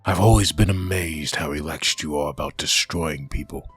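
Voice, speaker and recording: A man's voice: moderate pace, heavily acted. A man playing a menacing undead hellspawn antihero in a comic book audio drama, with a growling voice, giving threats and orders. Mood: threatening, commanding. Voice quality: very deep, husky growl. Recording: music under the voice.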